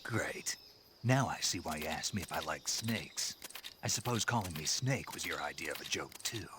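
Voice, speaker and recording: A very deep-voiced game soldier, gruff and laconic. He speaks with dry sarcasm over faint night insects. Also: lively intonation, normal speed. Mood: sardonic. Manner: terse, laconic, sardonic. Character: gruff, dry-witted male special forces infiltration agent. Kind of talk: scripted video game cutscene acting. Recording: game audio with jungle insect noise.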